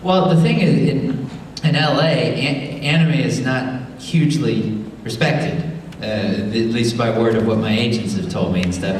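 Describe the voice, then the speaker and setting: A man's voice: medium pitch, unhurried, few pauses, slight background noise. Explanatory male panelist, echoey distant hall sound, long reverb. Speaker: voice actor panelist. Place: large convention hall.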